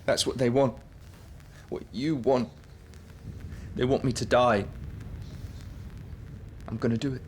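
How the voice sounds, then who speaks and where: A man's voice: medium pitch, fairly even intonation, slow, pauses often, slight background noise, natural voice. A man, playing a troubled, anxious and paranoid young man in a radio drama. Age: 20s. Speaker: young man with schizophrenia, narrator of his story.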